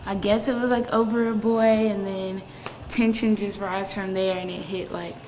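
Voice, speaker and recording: A woman's voice: mid-range voice, fairly even intonation, normal speed. A young woman in her late teens, a student, casually recounting something in an interview. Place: school corridor indoors. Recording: echoey hallway, distant mic, noisy.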